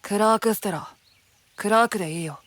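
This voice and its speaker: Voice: mid-range voice, fairly quick, a bit bright, dry recording, natural voice. An actor playing a guarded, mysterious youth in an anime-style voice drama, cool and curt. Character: guarded, aloof, mysterious youth, blunt and quiet.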